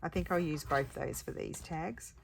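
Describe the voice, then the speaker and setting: A woman with a croaky voice, chatting casually and thinking aloud as she makes paper crafts at her craft table. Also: low voice, normal speed, heavy background noise. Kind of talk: casual crafting chat while working.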